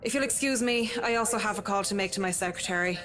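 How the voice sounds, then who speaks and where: A woman's voice: medium pitch, fast talker, fairly bright tone, faint music behind, close to an everyday voice. A woman playing a stern interior designer in a visual novel, speaking in a formal, clipped and controlled way. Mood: restrained impatience. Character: stern professional female interior designer, curt and displeased.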